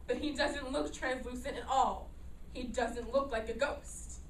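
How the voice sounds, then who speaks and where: A woman's voice: high voice, fairly even intonation, measured pace, distant mic. A woman playing an exasperated, no-nonsense female guest in a staged play reading, blunt and incredulous. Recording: echoey room from afar, faint noise.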